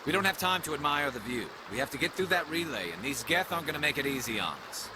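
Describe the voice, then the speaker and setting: A man playing a no-nonsense soldier companion in a video game, speaking briskly and with urgency. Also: fairly high pitch, expressive, noisy background, close to an everyday voice. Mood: urgent. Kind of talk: scripted game dialogue. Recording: loud music over the voice.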